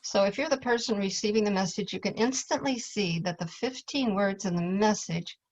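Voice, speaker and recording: A woman's voice: mid-range voice, normal speed, darkish tone. A woman presenting class material as an instructor, explaining it step by step in an informal way. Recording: dull, lo-fi audio.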